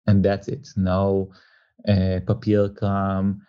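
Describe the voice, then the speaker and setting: A man's voice: sits low, monotone, very slow, dark tone, clean tone, dry recording. Earnest man, dull dry sound. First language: not German; father is German. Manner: earnest, repeats words, searches for phrasing. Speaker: insurance company executive guest. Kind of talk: interview answers mixing English and German. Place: office in Hamburg.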